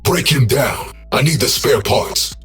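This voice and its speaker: Man playing a sardonic robot over loud music. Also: medium pitch, measured pace, close to an everyday voice. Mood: mocking. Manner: dry, mocking. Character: sardonic combat robot in a shooter game.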